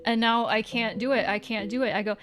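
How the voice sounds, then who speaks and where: A woman's voice: on the high side, fairly even intonation, a little fast, over music. A woman livestreaming, chatting casually with viewers in a playful, teasing way and swearing now and then.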